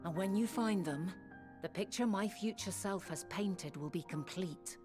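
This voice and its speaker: A woman playing a wise, resolute ancient leader in a fantasy video game, speaking formally in a measured, stately way. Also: mid-range voice, natural voice. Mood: thoughtful, assured. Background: background music.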